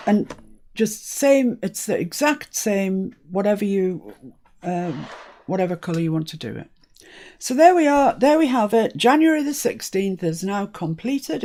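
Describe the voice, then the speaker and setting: A woman's voice: medium pitch, dark tone. A woman born in Scotland hosting a live crafting stream, chatting casually and laughing often. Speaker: crafting channel host. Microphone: live-stream setup.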